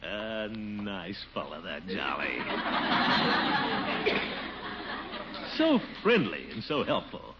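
A man's voice: low-pitched, wildly swooping pitch, drawn-out words, pauses often, over a noisy crowd, full character voice. A man playing a pompous, gullible, jittery police commissioner in a blustery, chuckling 1940s radio comedy style. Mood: jovial, blustering. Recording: muffled, noisy 1940s radio recording.

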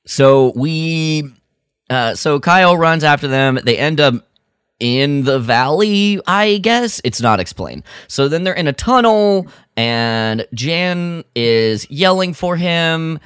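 A man's voice: fairly high pitch, animated, slow, a bit bright, smooth, no echo, studio quality. A man co-hosting a podcast, recapping a radio drama in casual chat, rambling with lots of fillers and restarts. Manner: rambling, full of fillers and restarts, quotes characters mockingly. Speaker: podcast co-host.

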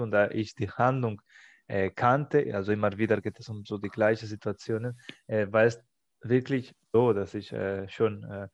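A man hosting a language-learning podcast, speaking as a learner. He works through a mock exam talk, halting and searching for words. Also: lowish voice, muffled recording. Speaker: podcast host.

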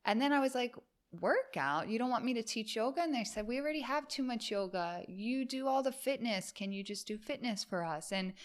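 A woman's voice: fairly high pitch, expressive, a little fast. A woman from the United States, a yoga and fitness instructor, chatting casually in an interview, full of fillers and restarts. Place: home, Miami.

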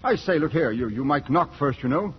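An actor playing an educated British colonial newspaperman, earnest and measured, in a 1940s radio drama. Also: a little high, expressive, slight background noise, natural voice. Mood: startled, concerned. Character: educated, level-headed British colonial newspaperman narrating his tale. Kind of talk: 1940s radio acting and narration. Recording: muffled 1940s radio, music behind.

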